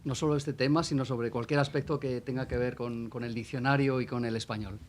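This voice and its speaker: A man's voice: mid-range voice, fairly even intonation, fairly quick, slight background noise. A man moderating a panel discussion and opening the floor to questions.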